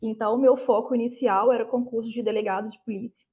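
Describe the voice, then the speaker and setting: A woman's voice: fairly high pitch, fairly even intonation, quick pace, phone-quality sound. A woman in her thirties with a Brazilian accent from Minas Gerais, answering interview questions in an explanatory, conversational way. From Belo Horizonte, Brazil. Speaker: interview guest, lawyer who passed a prosecutor exam.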